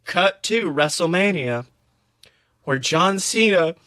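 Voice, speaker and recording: A man with a high, bright voice co-hosts a casual comedy podcast. He tells stories in an animated, profane way, slipping into acted-out quotes as he goes. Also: slow. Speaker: podcast co-host.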